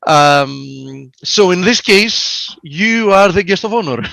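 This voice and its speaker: A man co-hosting a webinar, speaking in a friendly, halting way with lots of fillers. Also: sits high, expressive, creaky, pushed and bright, no echo. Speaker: co-host. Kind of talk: casual webinar chat.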